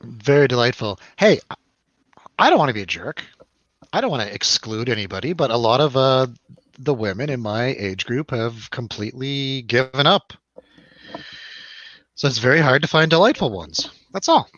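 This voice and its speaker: A man's voice: mid-range voice, expressive, moderate pace, fairly bright tone, no room sound, lo-fi sound. A man hosting a casual film review chat, rambling along with wry joking. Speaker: host of a film review show.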